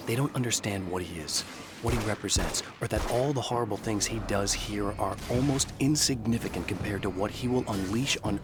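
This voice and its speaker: A man's voice: fairly low pitch, noisy background, natural voice. A man as a dry, determined novelist hero. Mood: grim. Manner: dry, matter-of-fact, wry. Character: weary, determined male horror novelist. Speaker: writer, protagonist. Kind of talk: game cutscene acting.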